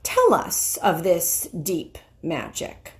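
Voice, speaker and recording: A majestic male lion ruler from a storybook, voiced by a woman reading aloud. He speaks gravely and slowly, in a measured way full of quiet authority. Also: lowish voice, wildly swooping pitch, exaggerated voice.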